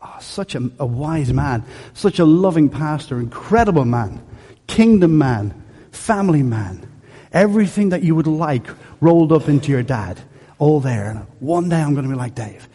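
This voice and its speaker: A man in his fifties from Dublin, with a softened Dublin Irish accent, preaching a sermon in a joking, conversational, storytelling way. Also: fairly high pitch, normal speed, slightly rough. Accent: Dublin Irish, softened by years in England. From Ireland. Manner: conversational, jokey, animated storytelling. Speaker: pastor. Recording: echoey hall.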